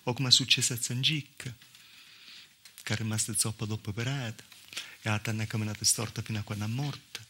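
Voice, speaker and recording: An actor playing a wry, plaintive Calabrian village mother in a theatrical monologue, with a southern Italian accent and a halting, confiding, folksy delivery. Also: fairly low pitch, steady tone, sharp tone, slight background noise. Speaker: actor in a solo stage monologue. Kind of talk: theatrical storytelling monologue in dialect-inflected Italian. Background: live musical accompaniment.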